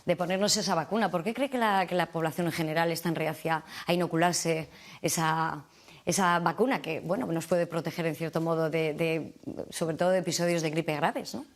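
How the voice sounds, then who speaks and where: A woman's voice: low voice, steady tone, fairly quick. A woman hosting a television interview, asking long questions with some hesitations. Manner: chatty, winding questions with hesitations. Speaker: TV interviewer host.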